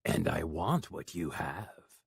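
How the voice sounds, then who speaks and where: A man voicing a menacing young male stalker, speaking low, slow and threatening. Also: expressive, vocal fry, husky, dry recording, heavily acted.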